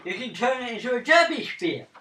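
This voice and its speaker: A man's voice: extremely high pitch, sing-song, a little fast, pushed and bright. A man bantering cheekily as a player in a tabletop game, on a roomy, distant recording. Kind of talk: casual bantering chat. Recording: roomy, distant mic, faint noise.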